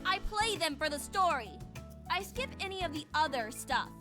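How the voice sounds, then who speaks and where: A woman's voice: high voice, lively intonation, normal speed, sharp tone. A woman playing an easily embarrassed, defensive young schoolgirl in a visual novel game. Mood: flustered, defensive. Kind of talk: scripted game voice acting. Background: game music.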